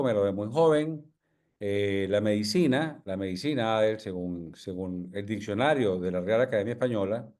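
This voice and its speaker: A man in his sixties with a Venezuelan accent, a physician answering interview questions in a measured, humble way, with many pauses and tag questions. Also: medium pitch, darkish tone. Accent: Venezuelan Spanish. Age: 60s. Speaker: physician guest.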